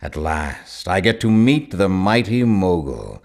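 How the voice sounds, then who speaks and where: A man's voice: deep voice, very animated, slow pace, heavily acted. An actor playing a grand, sinister mastermind in a video game, a man with a formal, theatrical, coldly courteous manner. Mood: grand, intrigued. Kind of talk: video game character dialogue.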